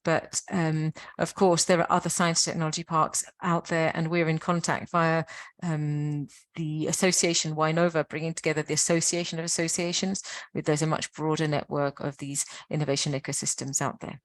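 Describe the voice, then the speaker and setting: A British woman giving a webinar slide presentation for a science park network, explaining at length with frequent pauses and restarts. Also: sits low, level tone.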